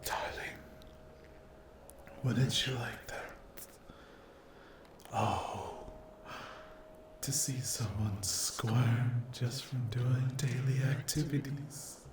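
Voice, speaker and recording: A man's voice: medium pitch, fairly even intonation. A man voicing a cruel, possessive demon in an ASMR roleplay, murmuring and whispering breathily with sweet menace. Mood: sadistic delight. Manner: breathy, drawn-out, sadistic, gloating endearments. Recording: roomy echo with clear background noise.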